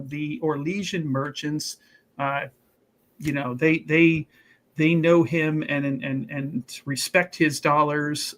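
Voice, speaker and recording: A man telling his part of a tabletop game to viewers in a casual, rambling, emphatic way, as a player. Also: on the high side. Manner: animated, emphatic, rambling and repetitive.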